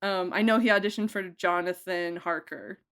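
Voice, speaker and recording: A woman's voice: fairly low pitch, fairly even intonation, normal speed, fairly bright tone, clean tone. A woman speaking casually as a producer in an interview, effusive and emphatic, with a joking way of talking. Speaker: audio drama producer and director being interviewed. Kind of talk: casual behind-the-scenes interview.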